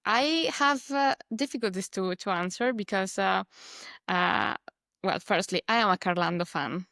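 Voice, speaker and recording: A young woman from Spain with a Spanish accent, speaking English as a second language, pausing often with fillers and laughing as an interview guest. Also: medium pitch, pushed and bright, no room sound, studio quality. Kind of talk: casual interview.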